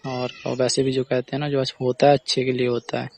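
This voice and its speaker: A man's voice: medium pitch, completely flat delivery, quick pace, darkish tone, no room sound. A man playing a reassuring, familiar friend in a casual phone-call drama, talking colloquially with frequent questioning tags. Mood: friendly, caring. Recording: clear background noise on recording.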